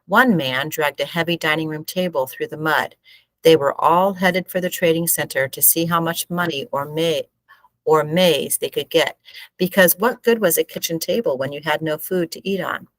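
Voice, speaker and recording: Low-pitched woman reading a story aloud. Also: moderate pace, a bit bright, no echo, low-quality recording. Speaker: teacher reading a book aloud. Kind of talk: storybook read-aloud, expressive narration.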